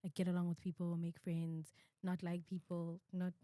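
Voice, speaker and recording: A woman's voice: on the low side, completely flat delivery, normal speed, mellow, dry recording, studio quality. Chatty young woman asking loose questions. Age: 20s. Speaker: international student, co-guest. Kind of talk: casual conversation.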